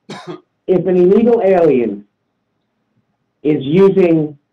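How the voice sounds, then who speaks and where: A man's voice: sits high, expressive, takes it slowly. A man in casual opinionated discussion, talking bluntly and insistently, with profanity, on a dull lo-fi line. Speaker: talk show participant.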